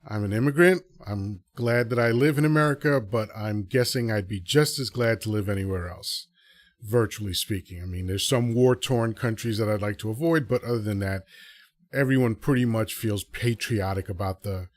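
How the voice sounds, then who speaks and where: Male podcast host, fairly low voice, rambling and earnest, dry close recording. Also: moderate pace, dry recording. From Dominican Republic. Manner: rambling, self-correcting, earnest. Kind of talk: casual solo commentary to a live audience.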